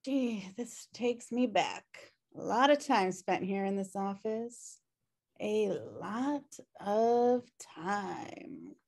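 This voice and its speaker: A woman's voice: mid-range voice, extremely slow, a little mellow. A woman playing a messy, guilt-ridden, wry sister in a sitcom table read, rambling hesitantly. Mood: wistful. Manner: rambling, hesitant, self-deprecating. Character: messy, guilt-ridden, wry younger-at-heart woman pleading for her brother. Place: home room on a video call.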